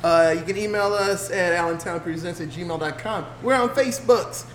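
A man's voice: high-pitched, normal speed. A man hosting a casual group podcast, brash and profane. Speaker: podcast host. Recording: echoey room with background noise.

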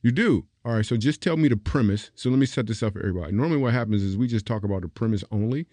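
A man's voice: lowish voice, racing, dark tone, no echo. A man hosting a comedy podcast, joking and teasing playfully and laughing often. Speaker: podcast host. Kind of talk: casual comedy podcast banter.

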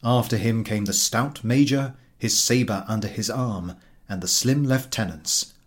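A man reading a literary story aloud as an audiobook narrator, with measured, theatrical phrasing. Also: on the low side. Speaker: audiobook reader.

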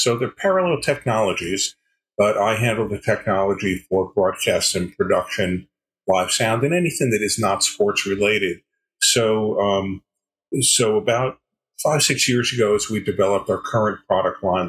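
An older man answering interview questions as a guest, explaining in a measured way with pauses between phrases. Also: fairly low pitch, a bit bright, low-quality recording. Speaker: podcast guest, sales executive.

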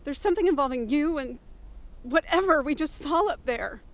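A suspicious woman in an audio drama, with a high-pitched voice. She is insistent and questioning, pressing for answers. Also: expressive, normal speed. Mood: suspicious, worried. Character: suspicious, pressing female companion demanding answers.

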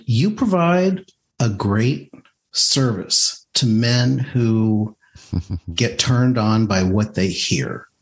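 Man, podcast host, slow pace, fairly low pitch, measured questions. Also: lively intonation. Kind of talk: interview questions.

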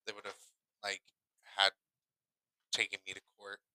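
Bright, forceful man telling casual stories. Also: lowish voice, completely flat delivery, a little slow, no echo, poor audio. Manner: emphatic, repeats himself for emphasis. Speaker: podcast co-host. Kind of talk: casual personal storytelling.

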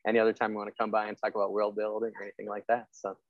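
A deep-voiced man chats casually as a podcast host, rambling along at a rapid pace and circling back over his phrases. The sound is close and dry, though slightly dull. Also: completely flat delivery, dry recording. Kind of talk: casual interview chat.

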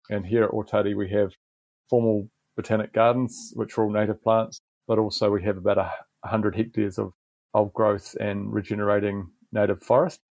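A middle-aged man with a New Zealand accent, a garden manager, explaining in a relaxed podcast interview with frequent restarts. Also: on the low side, gravelly, no room sound.

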